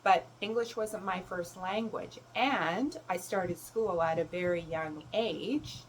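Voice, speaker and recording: A woman whose was German, speaking as a self-love coach in a gentle, reflective talk. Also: fairly low pitch, slow pace, slight background noise, poor audio. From Canada. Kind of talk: reflective solo talk to camera.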